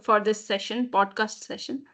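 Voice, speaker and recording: A woman's voice: mid-range voice, fairly even intonation, moderate pace, fairly bright tone. A woman answering as a podcast guest over an online call, hesitant and careful in her phrasing. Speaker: podcast guest, addiction counselor. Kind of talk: unscripted interview answers. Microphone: remote online call.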